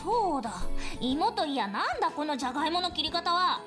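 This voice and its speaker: A blunt tomboy from a visual novel, speaks in a high, very animated voice. She is gruff and slangy. Music plays clearly behind her. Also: racing. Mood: grumbling. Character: blunt, tomboyish young woman with rough slangy speech. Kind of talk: Japanese visual novel voice acting.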